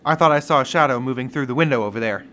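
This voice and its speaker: A man's voice: mid-range voice, very fast, bright, forceful tone, a little hiss or hum, lightly acted. A man playing a shifty, jealous killer who poses as a timid companion, acted theatrically in 1930s radio suspense style. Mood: uneasy.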